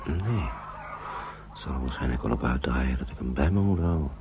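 Kindly uncle in a war radio play, low voice, patient with kids, muffled noisy old recording. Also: male voice, lively intonation, moderate pace. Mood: worried, musing. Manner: gentle, reassuring, patient with children. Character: kindly worried uncle shepherding refugee children through wartime. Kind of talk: radio drama acting.

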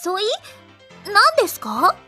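A woman's voice: very high-pitched, sing-song, unhurried, a bit bright, faint music behind, heavily acted. A woman playing a dutiful, stubborn young maid in an anime-style audio drama, speaking politely, earnestly and firmly. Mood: puzzled, flustered.

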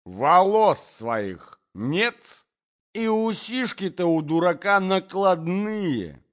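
A domineering provincial matron acted by a male reader rages in a high, swooping voice. She is spiteful and desperate, hurling loud exclamations. It is storybook drama on a slightly dull recording. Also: big pitch swings, slow, full character voice. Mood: furious despair.

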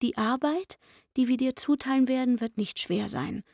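An actress playing a pleading young chambermaid in a radio play, speaking intimately and wistfully. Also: female voice, high-pitched, moderate pace, gravelly, a little mellow, no room sound, studio quality. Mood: coaxing, pleading. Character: pleading young chambermaid luring a man into secret shelter. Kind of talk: literary radio play acting.

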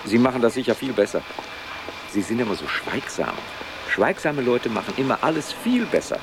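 A man's voice: mid-range voice, very animated, moderate pace, vocal fry. A man playing a garrulous, restless office colleague absorbed in his own chatter in a radio drama. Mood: chatty, fussing. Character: chatty, restless male colleague, fussing over gifts and prices. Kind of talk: 1970s German radio drama acting. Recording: urban street traffic noise behind voice.

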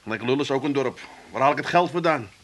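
An actor playing a dry, wary, hard-up bicycle-garage keeper with an Amsterdam accent in a comic radio drama. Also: male voice, mid-range voice, quick pace, a bit bright, lightly acted. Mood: wry, teasing. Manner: wry, colloquial.

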